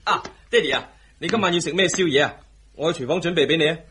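An actor playing a cheeky, coaxing young son and protective husband in a radio drama, with a slightly husky voice. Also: male voice, fairly high pitch, fast, bright, forceful tone, slight background noise. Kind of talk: Cantonese radio drama acting.

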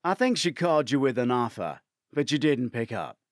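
A man voicing a brisk, matter-of-fact male investigator with a wry streak in an audiobook. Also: medium pitch, very animated, no echo, studio quality, lightly acted. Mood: teasing. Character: brisk, teasing male investigator colleague delivering bad news.

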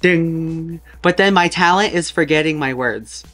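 A man's voice: on the high side, fairly bright tone, soft background music. Male drag performer, guest, jokey casual banter. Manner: chatty, joking, quick asides. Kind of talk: casual comedic chat. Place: home room with costumes behind.

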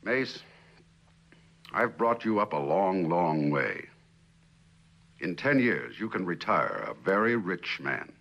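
A middle-aged man playing a stern, cynical company boss in a 1960s television drama, lecturing in a measured, condescending way. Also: deep voice, very animated, creaky, fairly bright tone, dull audio, exaggerated voice. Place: indoor living room set.

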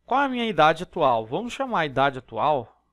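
Brazilian male math tutor, slightly high voice, dry close voice-over, patient step-by-step explainer. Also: sing-song, fairly quick, a bit bright, no room sound. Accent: Brazilian Portuguese. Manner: explanatory, step-by-step, frequent tag questions. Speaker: math teacher. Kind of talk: exam problem walkthrough. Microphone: voice-over on screen recording.

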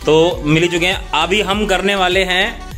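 A man hosting a casual family vlog. He chats, laughs often and rushes through explanations of game rules. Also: sits high, fairly even intonation, fairly bright tone. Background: background music.

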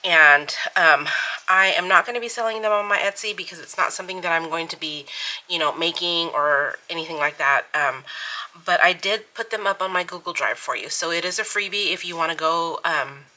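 Bright-voiced, sniffly woman chatting. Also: fairly low pitch, fairly quick, poor audio. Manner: rambling, many ums, giggly. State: sniffly from allergies. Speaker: planner and savings channel host. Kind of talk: casual chatty voice-over. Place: home craft desk. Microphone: overhead camera on desk, hands only.

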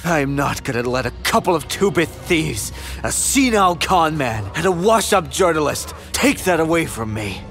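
Man playing an arrogant, menacing villain, swooping pitch. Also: sing-song, normal speed, a bit bright, soft background music. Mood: contemptuous, angry. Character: bitter, menacing, arrogant villain making threats. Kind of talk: dramatic video game acting.

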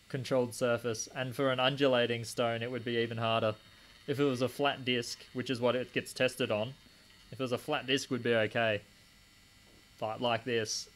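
A man's voice: mid-range voice, fairly bright tone. Rambling young man, live stream. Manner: rambling, answers chat comments aloud. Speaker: stream host polishing gemstones. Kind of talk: casual live-stream chat while working. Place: small home desk corner with a rotary tool running.

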